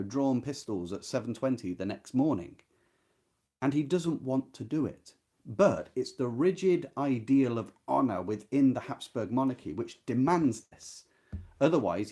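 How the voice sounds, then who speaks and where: A man's voice: mid-range voice, darkish tone, husky. A man hosting an informal literary discussion, explaining conversationally and often turning to the listener with questions. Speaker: host of a book readalong. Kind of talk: informal literary discussion with short read-out passages.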